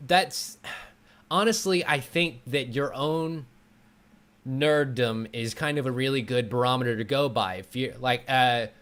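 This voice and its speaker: Mid-pitched man hosting a casual chat. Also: measured pace, fairly bright tone. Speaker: talk show host.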